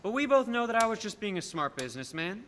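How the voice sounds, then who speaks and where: Casting: condescending, domineering businessman acted by a young man, highish forceful voice, radio-play delivery. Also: sing-song. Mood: smug, calculating. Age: young adult. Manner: patronizing, persuasive, deliberate emphasis. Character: scheming rich businessman, condescending, smooth-talking and domineering. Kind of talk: filmed radio play acting. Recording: echoey hall, background noise.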